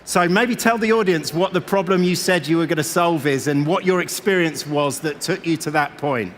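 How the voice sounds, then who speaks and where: Higher-pitched male moderator in an echoey hall. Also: moderate pace. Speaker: venture investor moderating a fireside chat. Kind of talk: conversational interviewing on stage. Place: event stage in a large venue. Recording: echoey large hall, faint noise.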